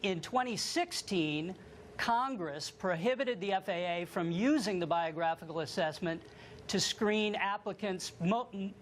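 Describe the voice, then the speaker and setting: A man's voice: sits high, unhurried. Male American official as interview guest, careful explanatory delivery, noticeable background noise. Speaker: government lawyer interviewed as guest. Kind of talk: formal explanatory television interview.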